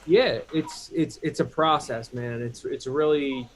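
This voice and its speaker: A man's voice: mid-range voice, measured pace, slight background noise. A man hosting a casual live chat, coaching a guest on music production with lots of fillers. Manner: mentoring, gives advice, many fillers. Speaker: host.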